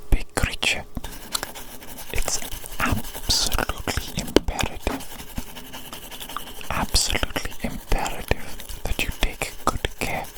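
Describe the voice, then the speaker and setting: A woman performing a whispered ASMR roleplay as an evil doctor who dotes on and scolds a monster creation, coaxing and repeating phrases. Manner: slow, repetitive, coaxing delivery.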